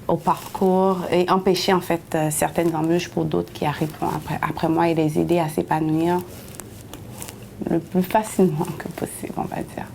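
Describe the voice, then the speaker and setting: A woman. Her voice is low and mellow, with little rise and fall. She pauses often and restarts her sentences while a faint rumble sits underneath. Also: level tone, vocal fry. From Haiti. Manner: hesitant, many pauses and restarts. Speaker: interviewee, city councillor. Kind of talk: personal testimony in an interview.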